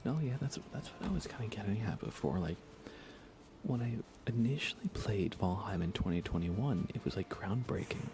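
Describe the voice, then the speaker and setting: A soft-spoken man chatting casually on an ASMR gaming livestream, rambling unhurriedly and often slipping toward a whisper. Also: fairly low pitch, lively intonation, moderate pace, slight background noise. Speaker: livestreamer playing a video game.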